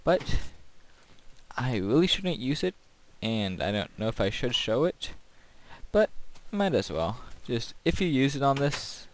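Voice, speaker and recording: A man's voice: mid-range voice, sing-song, measured pace. A man giving casual gameplay commentary as a video host, rambling and thinking aloud.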